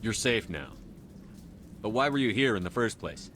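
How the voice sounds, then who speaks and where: A man's voice: lowish voice, lively intonation, normal speed, fairly bright tone, a little hiss or hum. A man playing a stoic, dutiful military commander in a video game, speaking in a direct, businesslike, firm way. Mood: firm, composed. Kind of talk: video game dialogue acting.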